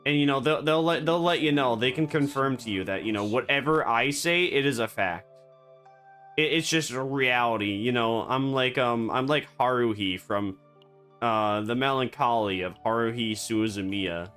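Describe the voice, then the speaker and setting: A man streaming a game, rambling and joking in absurd deadpan banter. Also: medium pitch, moderate pace, smooth, faint music behind. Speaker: VTuber streamer. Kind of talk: casual gaming stream chatter.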